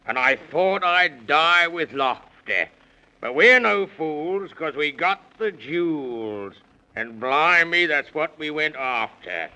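A jovial, blustering British walrus in a vintage children's radio play. The voice is highish and very bright, forceful and clean, and it rolls out pompous rhymes at an unhurried pace. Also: male voice, lively intonation, exaggerated voice, rough recording. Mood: pleased. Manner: pompous, jovial, slow rhyming. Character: hearty, blustering gentleman walrus, loyal adventurer. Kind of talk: 1930s children's radio acting.

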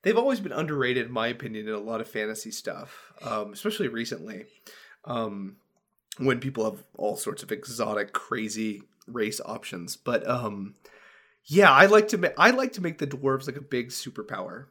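Excitable man chatting on a podcast. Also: medium pitch, moderate pace. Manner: enthusiastic, many fillers and restarts. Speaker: podcast co-host. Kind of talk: casual podcast chat.

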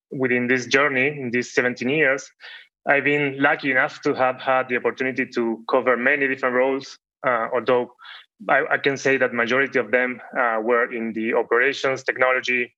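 A polite man in his forties from Argentina, introducing himself at a measured pace. His voice is bright and somewhat tense. The audio is dull and lo-fi. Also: mid-range voice. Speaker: panel guest, bank business unit head. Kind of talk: guest introduction.

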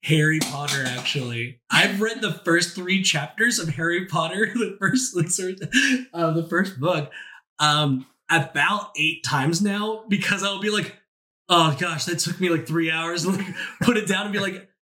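A man in a casual podcast chat as a guest, telling stories in an animated, jokey, self-deprecating way. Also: on the high side, measured pace.

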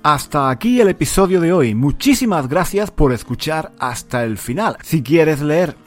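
A man reading a scripted podcast outro in a clear, announcer-like way. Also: fairly high pitch, lively intonation, moderate pace. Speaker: podcast host. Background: soft music.

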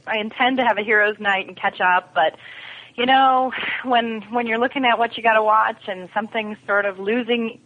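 A woman's voice: fairly high pitch, fairly even intonation, normal speed. A woman guesting on a podcast in casual chat, heard over a call. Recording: band-limited, dull remote call sound.

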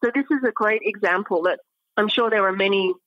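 A woman speaking as an academic expert in an interview, explaining in an articulate way with pauses mid-phrase. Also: mid-range voice, dry recording, dull audio.

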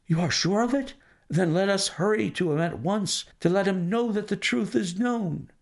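Frantic older banker, high, lively pleading voice, a little gravelly, as a man puts him on. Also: unhurried, full character voice. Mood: excited. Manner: anxious, pleading, breathless exclamations.

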